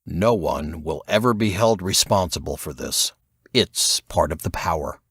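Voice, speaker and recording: A grave, assured investigator from an audiobook voiced by a male narrator explains things in a measured, didactic way. His voice sits fairly low with varied intonation, in a dry recording. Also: animated, fairly bright tone, breathy edge, no echo, exaggerated voice. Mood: grave, somber. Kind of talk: audiobook character dialogue.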